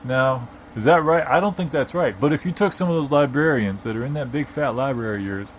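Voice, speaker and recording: A man's voice: medium pitch, lightly acted. A man playing a stubborn, long-winded opinionated fellow. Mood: indignant, rambling. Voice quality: halting, repetitive delivery. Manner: bossy, long-winded, argumentative. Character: stubborn rambling opinionated man arguing against amateur sleuths. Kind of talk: improvised audio comedy. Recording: background noise, informal non-studio room.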